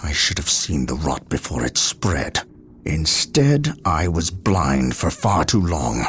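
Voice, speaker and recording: A man playing a stern, burdened space marine captain in a video game, speaking gravely and formally. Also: a bass voice, very animated, slow, a bit bright, slight background noise, exaggerated voice. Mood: brooding. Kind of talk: dramatic video game acting.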